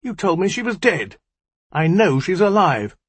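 A man's voice: sits high, sing-song, a little slow, slightly rough, dry recording, voice pushed up, heavily acted. A man voicing a bitter, self-pitying young magician, haughty and accusing, as audiobook dialogue.

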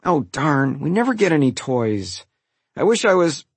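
Pouting young boy, played by a man, whiny, pitched well up, put-upon, storybook character, dry close sound. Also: sing-song, moderate pace, slightly rough, no room sound, heavily acted. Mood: disappointed.

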